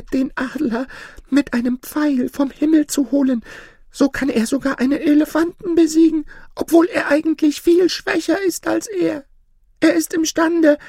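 A woman's voice: sits high, vocal fry, a little mellow, dry recording, clean studio sound, voice pushed up. A woman voicing a frightened female goose in a fairy tale, anxious and dramatic as she tells her tale.

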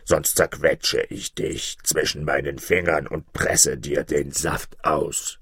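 A man voicing a huge, gruff, gluttonous giant in a storybook, with a booming, blustering, menacing and commanding manner. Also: flat delivery, takes it slowly, a bit bright, no echo, studio quality, exaggerated voice. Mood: threatening.

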